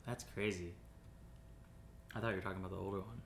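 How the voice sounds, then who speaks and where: A young man hosting a casual podcast chat, rambling and laughing often, drifting into quieter asides. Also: steady tone, fast, vocal fry. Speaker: podcast host. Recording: faint background noise and rumble.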